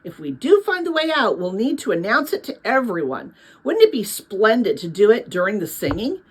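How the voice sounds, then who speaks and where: A woman's voice: mid-range voice, sing-song, moderate pace, husky, rough recording. A woman reading the part of an earnest, eager young boy in a storybook. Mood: excited.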